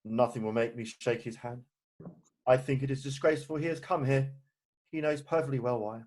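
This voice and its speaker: Casting: exasperated Victorian gentleman played by a man, flustered and hesitant, slightly high voice, amateur stage reading. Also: animated, moderate pace. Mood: indignant. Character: exasperated, indignant Victorian gentleman, guardian of a young woman. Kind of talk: amateur play read-through.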